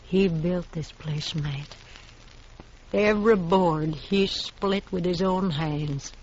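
An actress playing a feisty, stubborn frontier widow in a 1950s radio western, with a folksy, plainspoken American manner of speech. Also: female voice, fairly low pitch, slow, darkish tone. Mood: wistful. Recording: dull, noisy old radio recording.

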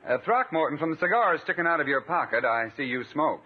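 A man's voice: fairly high pitch, expressive, fast talker, husky. A man playing a self-important, ingratiating freeloader brother in a 1950s radio comedy. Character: smooth-talking freeloading brother, self-important, flattering moocher. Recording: thin, narrow 1950s radio recording.

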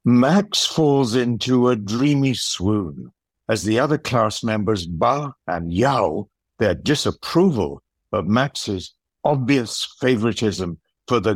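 Audio play narrator, a man acting, stately documentary manner, husky voice, animated melody, unhurried. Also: on the low side, no echo, exaggerated voice. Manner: storytelling, formal. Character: stately male wildlife-documentary style narrator. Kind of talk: scripted narration.

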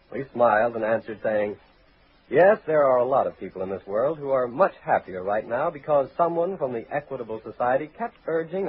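A tinny vintage radio recording carries an American man announcing in 1940s style. His husky, mid-pitched voice tells a sponsor story as scripted narration.

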